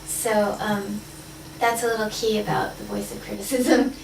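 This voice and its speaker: A woman's voice: medium pitch, fairly even intonation, normal speed, gravelly. A woman facilitating a group role-play workshop, speaking candidly and laughing often. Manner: candid, playful, laughs easily. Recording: roomy, distant, noisy live room.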